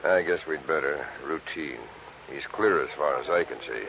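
An actor playing a gruff, matter-of-fact police lieutenant in an old radio drama, blunt and offhand. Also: male voice, a bass voice, normal speed. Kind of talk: 1940s-50s radio drama acting. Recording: muffled old radio with background crowd.

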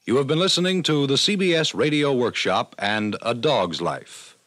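A man's voice: medium pitch, expressive, normal speed, fairly bright tone, dry recording. Dry-sounding 1950s American radio announcer, male.

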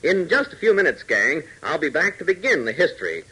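An American man announcing and narrating a 1940s radio serial in an emphatic, dramatic, husky voice. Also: fairly high pitch, very animated, brisk, pushed and bright, a little hiss or hum, poor audio.

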